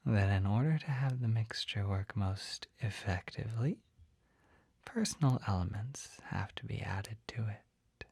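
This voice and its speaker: An actor voicing a shy, flustered, gentle poison-selling apothecary in a soft, breathy, hesitant whisper for an ASMR roleplay. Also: mid-range voice, no echo, exaggerated voice. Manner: hesitant, halting, apologetic, easily embarrassed.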